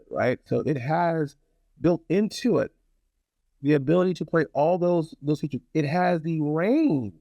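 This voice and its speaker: A man's voice: fairly high pitch, mellow, no room sound. A man talking casually to viewers in a rambling, blunt product review, often repeating phrases for emphasis. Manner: opinionated, blunt.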